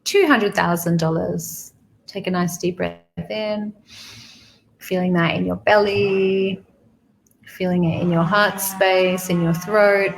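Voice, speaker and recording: A woman guiding a meditation slowly and soothingly, repeating phrases with pauses, in a creaky, gravelly voice. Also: fairly low pitch, steady tone, low-quality recording. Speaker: guided meditation leader. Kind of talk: guided money meditation, spoken softly to the listener.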